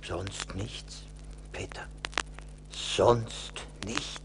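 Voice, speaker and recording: An actor playing a stern, wise little forest spirit in a radio play, admonishing in a deliberate manner. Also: male voice, on the low side, fairly even intonation, in a whisper. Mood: scolding. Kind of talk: 1980s German radio play acting. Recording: noisy vintage LP radio play.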